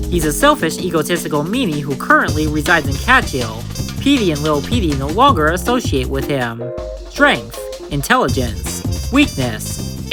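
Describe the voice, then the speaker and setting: A woman reading scripted narration for a children's comic dub read aloud. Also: low voice. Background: music behind the voice.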